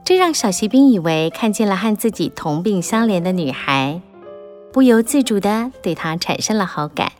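A woman narrating a children's audiobook in a gentle, expressive storytelling manner. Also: mid-range voice, normal speed, soft background music.

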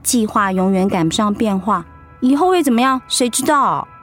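An actress with a Taiwanese accent playing an upbeat, teasing wife in recovery from illness in a radio drama, playful and reassuring. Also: female voice, medium pitch, expressive, normal speed, soft background music, lightly acted. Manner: playful, reassuring, chiding her husband. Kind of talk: Mandarin radio drama acting.

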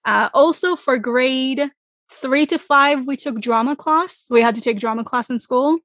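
Animated, dry-sounding woman. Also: high voice, a little slow, no echo. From Israel. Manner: animated, laughs often, self-described loud. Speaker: co-host discussing musicals. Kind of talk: casual podcast chat.

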